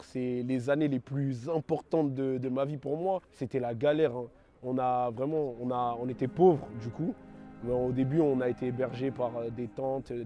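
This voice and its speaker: Jeune homme à l'de banlieue parisienne, timbre sombre, parle en argot, hésitant et décousu. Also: male voice, mid-range voice, mellow. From France, raised in London. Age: 20s. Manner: slangy, rambling, many fillers and self-corrections. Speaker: testimony giver. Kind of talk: casual spoken testimony.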